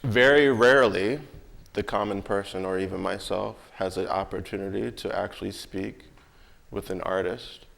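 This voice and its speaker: A man's voice: low voice, steady tone, normal speed, vocal fry, a bit bright. A man conducting a casual interview, putting probing questions to his guest in a conversational way. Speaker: interviewer and commissioning client.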